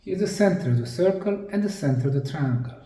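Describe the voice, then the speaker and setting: A man giving a lecture-style presentation, speaking deliberately in short, emphatic phrases with pauses. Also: high voice, lively intonation, warm tone. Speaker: presenter, anthropologist. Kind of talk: slide lecture presentation on sacred geometry. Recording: distant mic in an echoey room.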